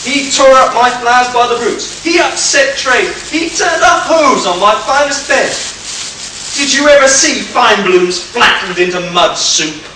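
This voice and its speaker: A man's voice: extremely high pitch, animated, measured pace. A man playing a big, hot-tempered, aggrieved tough guy in an amateur radio play, recorded from far off in an echoey room. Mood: aggrieved, heated. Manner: forceful, tough-guy outbursts. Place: live convention stage in echoey room. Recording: very echoey hall, far-off mic, faint hiss.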